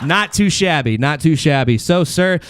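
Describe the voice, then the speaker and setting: A man's voice: a little high, lively intonation, moderate pace, background noise. A man hosting a bantering comedy game show on a podcast, with crude, profane, jokey talk.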